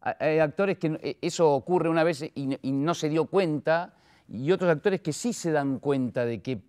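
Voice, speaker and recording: A man's voice: a little high, moderate pace, a little mellow, clean tone. A man with a Rioplatense Argentine accent interviewing conversationally, with hesitant, searching phrasing and repeated words.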